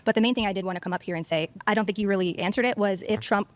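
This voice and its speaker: A woman's voice: medium pitch, rapid-fire, no room sound. A woman challenging an opponent in a live debate, conversational and politely conciliatory.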